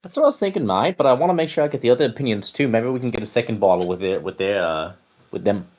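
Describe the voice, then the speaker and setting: A man's voice: on the low side, rapid-fire. A man playing a tabletop roleplay game, bantering casually in character in a chatty, matey manner. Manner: chatty, matey, animated, saying "mate" often.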